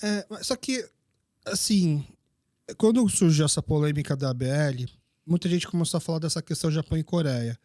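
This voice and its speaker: A man hosting a casual interview, telling stories in an animated way and acting out the dialogue. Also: a little high, a little fast, dry recording, studio quality. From Brazil. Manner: animated storyteller who acts out dialogue. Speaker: podcast host.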